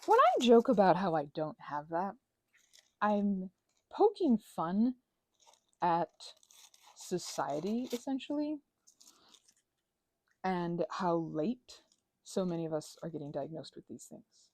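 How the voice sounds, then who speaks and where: A woman's voice: on the low side, big pitch swings, slow, long pauses, no echo. A woman in her thirties rambling casually and digressively, with a sarcastic, self-deprecating streak. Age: 30s. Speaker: crafting vlogger and ASMR creator.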